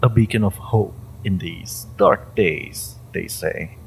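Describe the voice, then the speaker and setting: Man acting a slow, gravelly, menacing interrogator. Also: low-pitched, a little hiss or hum, exaggerated voice, poor audio. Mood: cold suspicion. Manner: deliberate, sardonic, halting with pointed pauses. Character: menacing, suspicious male agency interrogator, coldly patient. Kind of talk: slow dramatic monologue, audio roleplay.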